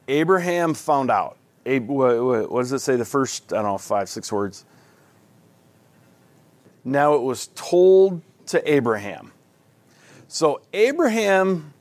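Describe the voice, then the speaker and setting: A man walks a group through scripture in an easygoing, homespun manner, stopping and restarting his thoughts as he goes. He speaks slowly, at a middling pitch. The sound is dry and close, with no room echo. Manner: folksy and conversational, with frequent restarts. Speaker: Bible study leader. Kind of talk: casual Bible study teaching.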